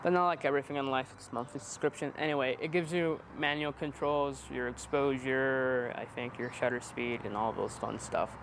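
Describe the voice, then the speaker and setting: A man's voice: medium pitch, fairly even intonation, normal speed, few pauses, fairly bright tone, a little hiss or hum. A man of twenty-one chatting casually in a rambling, self-deprecating, playfully joking way. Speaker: interviewee, amateur videographer. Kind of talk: casual chat.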